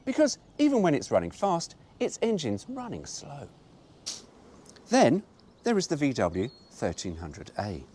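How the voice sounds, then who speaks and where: A man's voice: a little high, huge pitch swings, a little slow, warm tone, slight background noise. Wry man reading a brochure. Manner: wry, mock-indignant asides. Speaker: host reading a car brochure. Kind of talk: reading aloud with asides.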